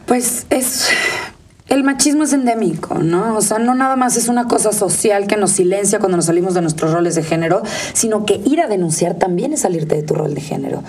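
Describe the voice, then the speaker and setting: A woman's voice: mid-range voice, fairly quick, darkish tone, far from the mic, a little hiss or hum. A woman with a Mexican accent speaking as an expert guest in an interview, emphatic and outspoken. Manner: emphatic, outspoken, mimics others in quotes. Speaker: interview guest, digital rights advocate.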